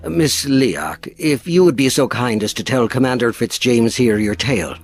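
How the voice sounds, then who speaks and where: Man as a stern, husky Victorian naval captain. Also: mid-range voice, lively intonation, soft background music. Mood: courteous, grave. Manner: formal, authoritative, measured. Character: stern, fair-minded 19th-century British naval captain. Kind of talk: period audio drama acting.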